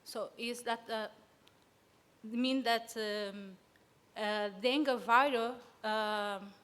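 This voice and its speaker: A woman's voice: fairly high pitch, fairly even intonation, extremely slow, fairly bright tone, clean tone. Nervous woman presenting in a reverberant hall. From São Tomé and Príncipe. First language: Portuguese. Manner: hesitant, many fillers, nervous. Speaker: conference presenter. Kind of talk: academic talk. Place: conference hall.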